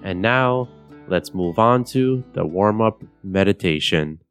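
A young man from Bulgaria hosting a podcast, guiding a meditation in a calm, measured, soothing way. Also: low voice. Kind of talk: guided meditation narration. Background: soft guitar music.

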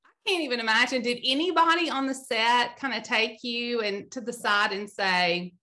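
A woman from Mississippi with a Southern US accent hosts a relaxed interview. She speaks a little high and bright, asking her guest friendly questions. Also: moderate pace. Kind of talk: casual interview chat.

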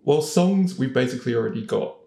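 A man with a creaky, mid-pitched voice talks casually on a panel. He thinks aloud as he goes, and the recording is noticeably roomy and echoey. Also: lively intonation, brisk, warm tone. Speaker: podcast panellist, voice actor. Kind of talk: casual chat.

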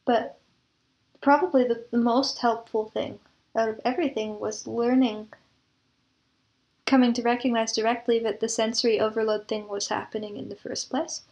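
Higher-pitched, even-toned woman with creaky voice. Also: normal speed. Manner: reflective, explains with analogies and examples. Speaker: interview guest, composer and audio drama producer. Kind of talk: explanatory interview conversation.

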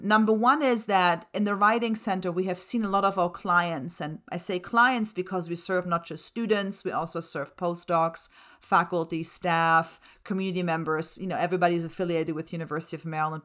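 Woman, middle-aged, slightly low voice, native German and Slovak speaker, articulate and earnest, explanatory interview talk. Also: a bit bright. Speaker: interview guest, writing center director and associate professor.

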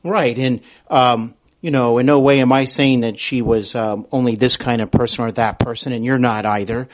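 A man with a medium-pitched voice hosts a conversational interview. He phrases his thoughts carefully, with plenty of qualifiers and agreement. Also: steady tone, normal speed. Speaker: podcast host, interviewer.

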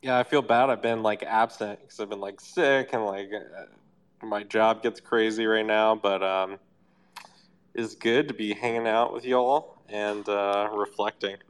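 A man with the flu and a raspy voice, chatting laid-back as a co-host. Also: lowish voice, fairly even intonation, a little slow. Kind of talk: casual podcast chat.

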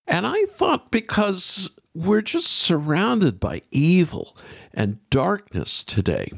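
A man's voice: high voice, wildly swooping pitch, slow pace, gravelly, breathy edge, no room sound, clean studio sound. An American man hosting a radio interview programme, introducing things and putting questions to a guest.

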